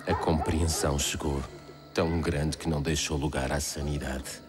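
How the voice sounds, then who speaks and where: A man with a European Portuguese accent voicing a doomed castaway, narrating a macabre horror tale in a grave, literary, measured way. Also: a bass voice, fairly even intonation, music in the background. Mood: despairing. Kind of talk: dramatic first-person storybook narration.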